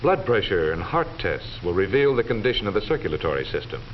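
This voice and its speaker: Male narrator, American, deep and authoritative, for vintage instructional film; muffled period recording with faint noise. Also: sing-song. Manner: formal, measured, authoritative delivery. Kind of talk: 1940s scripted educational film narration.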